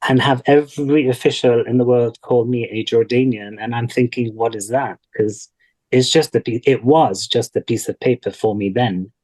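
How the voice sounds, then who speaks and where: A man from Kuwait, speaking thoughtfully and deliberately in a reflective interview over a video call. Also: medium pitch, a little mellow, poor audio. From Kuwait, of Palestinian parents. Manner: thoughtful, deliberate, with repetition for emphasis. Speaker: interview guest. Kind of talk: reflective personal interview talk.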